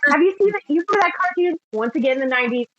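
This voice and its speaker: Excitable woman podcast co-host. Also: high voice, racing, no echo, muffled recording. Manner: excitable, laughs easily, exclaims. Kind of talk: casual chat.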